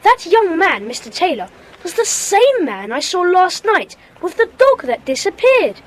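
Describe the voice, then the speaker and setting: A woman playing an excited schoolboy detective, earnest and eager, in a children's audio drama. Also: very high voice, measured pace, full character voice, low-quality recording.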